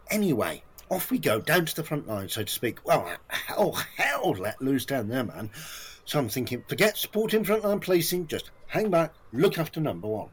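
An actor in a comic audio play voicing a hapless, self-important ex-community police officer who rambles, overshares and boasts chummily at a job interview. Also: male voice, on the high side, very animated, normal speed, slight background noise. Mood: rambling, animated.

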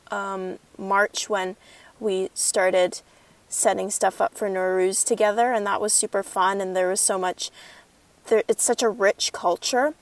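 A young woman interviewing a friend, asking questions hesitantly with many pauses and fillers. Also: medium pitch, vocal fry, dry recording.

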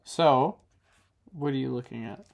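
A young man co-hosts a relaxed chat with his partner. He talks in an easygoing way and slips in dry jokes. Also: mid-range voice, a little slow, vocal fry. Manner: laid-back, dry joking. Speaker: podcast co-host. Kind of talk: casual couple's chat.

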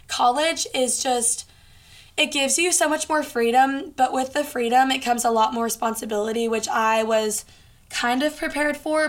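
A woman in her late teens hosting a casual solo podcast, chatting in an informal, earnest, encouraging way, her voice hoarse and raspy from lots of talking. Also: on the high side. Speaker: podcast host.

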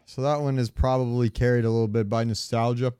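A man's voice: mid-range voice, fairly even intonation, fast, mellow, clean tone. A man co-hosting a podcast, chatting casually about games in slangy, profane language. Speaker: podcast co-host. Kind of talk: casual gaming chat.

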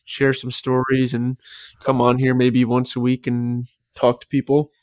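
A man's voice: mid-range voice, monotone, unhurried, darkish tone, no room sound. A young man co-hosting a casual podcast with his roommate, rambling and repeating himself, his voice a little worn. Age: young adult. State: slightly lost voice. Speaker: podcast co-host. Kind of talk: casual chat. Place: shared apartment at night. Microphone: podcast microphone.

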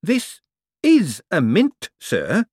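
A man's voice: on the high side, huge pitch swings, normal speed, dry recording, studio quality, voice pushed up. A man voicing a sly, working-class male mint foreman who uses broad dialect grammar and keeps saying sir. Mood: wheedling.

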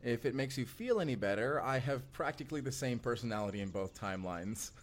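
A man's voice: mid-range voice, expressive, a little fast, natural voice. A man playing a young, friendly time traveler in an audio roleplay, talking casually and intimately straight to the listener. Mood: affectionate, reassuring. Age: young adult. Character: friendly, chatty male time traveler, college-aged-looking, eager and cautious. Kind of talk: first-person immersive audio roleplay acting.

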